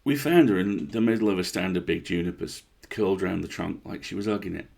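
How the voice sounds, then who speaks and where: A man's voice: sits low, brisk, creaky, darkish tone. A man voicing a blunt, rattled park ranger in a horror story, confiding and swearing in an incredulous, conversational way.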